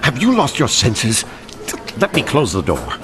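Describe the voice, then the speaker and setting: An actor playing a haughty, crooked banker in a period radio mystery, speaking formally. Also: male voice, medium pitch, wildly swooping pitch, unhurried, music in the background. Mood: indignant. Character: smooth, indignant, crooked male banker villain. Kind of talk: old-time radio drama acting.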